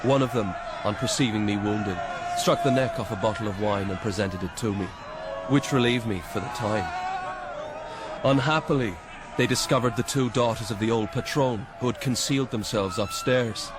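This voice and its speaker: A man's voice: lowish voice, normal speed, a loud crowd, close to an everyday voice. An actor playing a plain-spoken, shaken army corporal, telling his war story earnestly and emphatically in a radio drama. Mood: grim, regretful. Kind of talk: dramatic radio monologue, memoir testimony. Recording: noisy radio drama recording.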